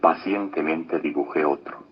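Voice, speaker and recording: A muffled radio-theatre recording with music under an extremely low male voice. An actor plays a patient storyteller, husky, solemn and measured. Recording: dull lo-fi radio broadcast.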